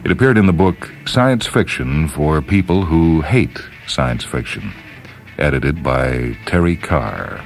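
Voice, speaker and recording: A man hosting and reading speculative short stories in a measured, solemn dramatic narration. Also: extremely low pitch, animated, low-quality recording. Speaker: host and story reader. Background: music and sound cues.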